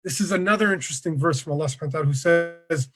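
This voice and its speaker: Guy with a slightly high voice giving a laid-back talk on a video call, lots of ums. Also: very fast, over music. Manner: relaxed, conversational, with many fillers. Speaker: presenter of an online workshop. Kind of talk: casual online lecture.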